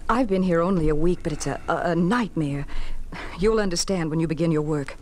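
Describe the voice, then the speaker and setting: An actress playing an anxious, horrified nurse in an old radio drama, urgent and faltering. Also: female voice, fairly low pitch, brisk, darkish tone, close to an everyday voice. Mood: distressed. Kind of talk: 1950s radio drama acting. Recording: noisy old 1950s radio recording.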